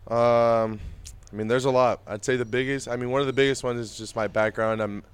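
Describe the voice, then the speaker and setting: A man's voice: medium pitch, fairly even intonation, a little fast, clean tone. A young adult man, a Spanish native speaker, answering interview questions in an easygoing way with plenty of fillers. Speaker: interview guest, baseball prospect.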